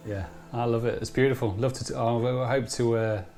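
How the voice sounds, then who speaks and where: A man's voice: medium pitch, steady tone, normal speed, darkish tone, faint music behind. A man hosting a podcast interview, chatting casually and encouraging his guest. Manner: friendly, calls the guest mate, encouraging. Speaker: podcast host.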